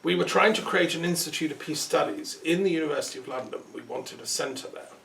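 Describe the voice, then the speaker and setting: A man hosting a relaxed interview, talking in a thoughtful, rambling way with asides. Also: on the high side, fast talker, gravelly. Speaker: host. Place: peace museum building. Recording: roomy sound from a distance, faint noise.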